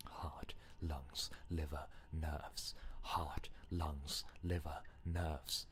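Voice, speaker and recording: A man voicing an obsessive inner voice in a game, chanting repetitively in a hushed, breathy whisper. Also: very deep voice, steady tone, very slow, exaggerated voice.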